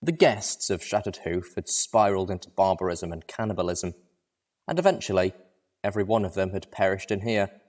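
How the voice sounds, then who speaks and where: A man's voice: low-pitched, expressive, a little fast, darkish tone. A man reading a story aloud as narrator, in a dramatic first-person style.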